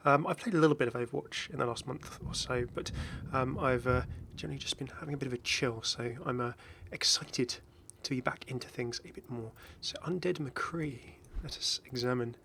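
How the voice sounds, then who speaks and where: A man's voice: mid-range voice, fast, vocal fry, few pauses, fairly bright tone, a little hiss or hum. A man hosting a gaming video with casual, chatty commentary that drifts into hesitant asides. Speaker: video host, game content creator.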